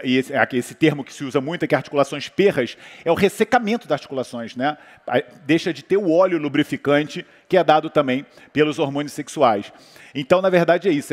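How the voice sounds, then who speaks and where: Brazilian man in a reverberant hall. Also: fairly high pitch. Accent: Brazilian Portuguese. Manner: rambling, many fillers and restarts. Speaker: physician guest speaker. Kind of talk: conversational talk on a panel.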